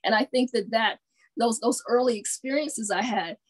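A woman's voice: a little high, normal speed, rough recording. A middle-aged woman from the American South, speaking as a panelist on a video call and telling a childhood story with many fillers. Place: home room with bookshelves. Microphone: video call webcam.

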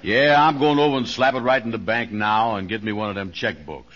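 Casting: puffed-up, naive comic braggart, lowish pitch, folksy 1940s radio style, muffled old recording. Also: male voice, fairly quick, fairly bright tone. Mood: boastful. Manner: folksy, ungrammatical, puffed-up delivery. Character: boastful, naive, self-important grown man. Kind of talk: 1940s radio comedy acting. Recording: dull vintage radio recording.

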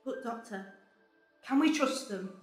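A woman playing a plucky, excitable young travelling companion in an audio drama, exclamatory and breathless. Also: a little high, a little slow, soft background music, natural voice. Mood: anxious.

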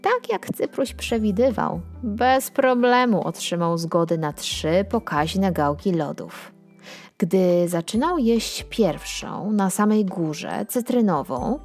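A woman tells a children's tale at a slow, unhurried pace. Her delivery is expressive and scripted, shaped for bedtime listening, with faint music underneath. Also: lowish voice. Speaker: storyteller reading a children's audio tale. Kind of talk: scripted bedtime story narration, acting out dialogue.

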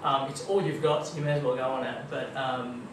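A man's voice: medium pitch, steady tone, brisk. A young Australian man giving a casual, chatty tech talk at a meetup, full of ums and kind-ofs. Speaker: guest speaker at a marketing meetup. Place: dim meeting room with projector screen. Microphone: far room camera. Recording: echoey room, distant camera, noisy.

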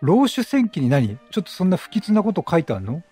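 A man doing casual Let's Play commentary as a game streamer, talking in a chatty, expressive, reactive way. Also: high-pitched, a little fast, breathy edge. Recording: game music behind.

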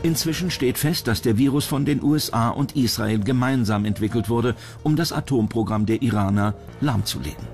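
A male narrator delivers scripted documentary voice-over at a middle pitch. Music plays clearly behind his voice throughout. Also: normal speed, few pauses, a little mellow.

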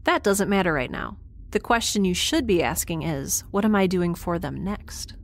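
A woman's voice: fairly low pitch, big pitch swings, normal speed, slight background noise. A woman playing a sarcastic, defiant young spaceship dweller in an audio drama, with a flippant, casual delivery. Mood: bluffing.

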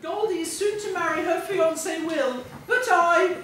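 A woman's voice: extremely high pitch, unhurried, fairly bright tone. A woman playing a coy, flustered lady with exaggerated delivery in an amateur stage pantomime. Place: theatre stage, filmed from far off. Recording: echoey theatre, distant microphone, faint noise.

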